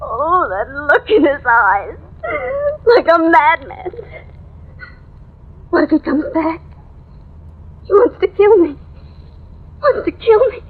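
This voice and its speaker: Panicky wife in old radio thriller, really high voice, halting n breathless, kinda muffled recording. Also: female voice, very animated, exaggerated voice. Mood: terrified, distraught. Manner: breathless, halting, repeating herself. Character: frantic, paranoid wife alone in her apartment. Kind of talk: old-time radio melodrama acting.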